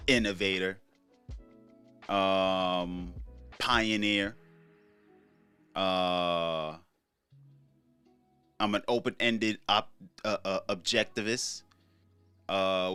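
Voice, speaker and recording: Deep-voiced laid-back man, rambling. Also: level tone, slow pace, pauses often, bright, forceful tone, smooth, soft background music. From Trinidad. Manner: laid-back, rambling, profane, many fillers. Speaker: podcast host. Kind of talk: casual solo commentary.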